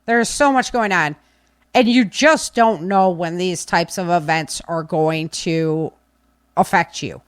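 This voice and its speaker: A woman's voice: on the low side, takes it slowly, clean tone, no echo. A woman talking casually and insistently, repeating key phrases for stress like a podcast speaker giving firm advice. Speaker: podcast speaker on preparedness and self-defense. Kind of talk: casual, opinionated talk.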